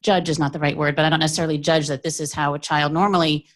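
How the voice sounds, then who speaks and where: A woman in her forties with a lowish voice talks through her work as a pediatrician. She reflects in an easy, conversational way. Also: steady tone, rapid-fire. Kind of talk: discussion at a pediatric workshop.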